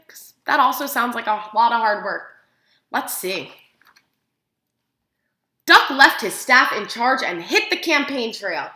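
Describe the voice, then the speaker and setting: A woman teacher reads a picture book aloud to preschoolers in an animated, expressive way, using simple phrases and putting questions to her young listeners. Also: sits high. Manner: animated, expressive, simple child-directed phrasing with gasps for effect. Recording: echoey room sound.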